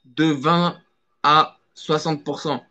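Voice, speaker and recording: A man's voice: on the high side, steady tone, slow pace, bright, forceful tone. A man, a guest in a casual live chat, talking emphatically and repeating his phrases over and over. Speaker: guest caller. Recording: dull lo-fi audio.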